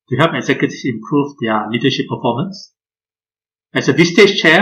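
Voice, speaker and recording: A man, giving a measured webinar presentation over a video call, pausing often on "uh". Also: mid-range voice, fairly bright tone, a little hiss or hum, low-quality recording. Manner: measured, frequent "uh" hesitations. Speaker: guest speaker.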